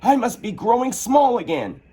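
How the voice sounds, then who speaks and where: A man's voice: extremely high pitch, sing-song, moderate pace, warm tone, breathy edge, pitched above the performer's own voice, heavily acted. A man voicing a curious, bewildered young girl who is easily upset, in a storybook reading. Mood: puzzled. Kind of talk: storybook character voice.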